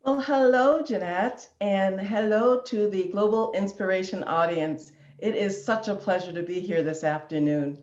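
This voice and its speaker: A woman's voice: medium pitch, normal speed, few pauses. A woman in her fifties from Illinois, a guest in an online interview, talking in a chatty way with easy laughs and ums. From Illinois, USA. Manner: warm chatty, laughing easily, filler ums. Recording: roomy, dull lo-fi sound.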